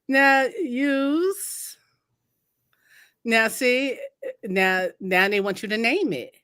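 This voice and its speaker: A woman's voice: fairly high pitch, extremely slow, fairly bright tone, smooth, dry recording. Chatty American woman, dry sound. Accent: Northern US. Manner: laughs often, trails off and restarts. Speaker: co-host. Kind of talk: casual live demo chat.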